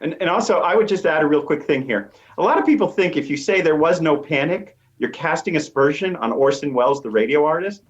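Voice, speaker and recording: Man, academic guest, slightly high voice, muffled lo-fi line, emphatic declarative commentary. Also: animated, fairly quick, hardly any pauses. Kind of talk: conversational interview commentary.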